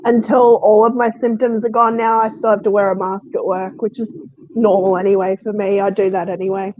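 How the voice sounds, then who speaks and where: A woman streamer with a croaky, sniffly voice from being sick, chatting casually and laughing often. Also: mid-range voice, flat delivery, a little fast, a little hiss or hum. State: croaky voice from a cold. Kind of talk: casual live stream chat. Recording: dull lo-fi sound.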